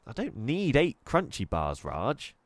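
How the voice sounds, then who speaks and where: A man's voice: medium pitch, wildly swooping pitch, unhurried, no room sound. A man voicing a nervous young schoolgirl who stammers and hesitates, in a dry recording. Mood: nervous girlish.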